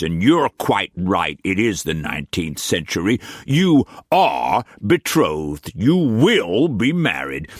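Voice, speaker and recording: A man voicing a sick, domineering older uncle who speaks gruffly and commandingly. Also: low voice, fairly bright tone, no echo, clean studio sound, heavily acted.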